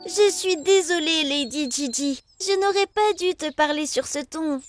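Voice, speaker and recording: A woman's voice: high-pitched, measured pace. A woman voicing an eager, sensitive young female dog in a children's cartoon, gushing and emotional. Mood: apologetic. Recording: music behind the voice.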